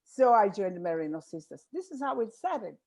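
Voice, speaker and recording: A woman's voice: medium pitch, big pitch swings, moderate pace, darkish tone. A woman from Tanzania, a missionary sister, talking about her life in an earnest, reflective way on a video call. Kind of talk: casual conversation on a video call.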